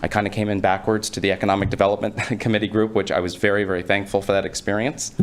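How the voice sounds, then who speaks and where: A man giving an earnest, somewhat halting public comment at a town council meeting. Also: lowish voice, flat delivery. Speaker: resident speaking at public comment.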